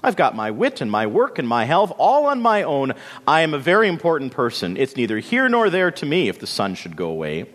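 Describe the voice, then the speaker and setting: A man voicing a bossy, scornful bean plant, a self-important busy sister who talks in brisk, dismissive phrases. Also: fairly high pitch, wildly swooping pitch, fairly bright tone. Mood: self-satisfied. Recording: long reverberant hall sound.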